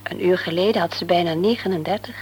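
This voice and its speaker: An actress playing a devout, anxious mother in a Dutch radio play, speaking earnestly and gently. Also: lowish voice, fast talker, lightly acted. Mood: worried, polite. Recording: noisy old radio play recording.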